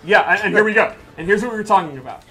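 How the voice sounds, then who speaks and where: A man's voice: high-pitched, expressive, racing, sharp tone, soft background music. A man giving casual gameplay commentary with a joking, self-deprecating manner. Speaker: game stream commentator and player.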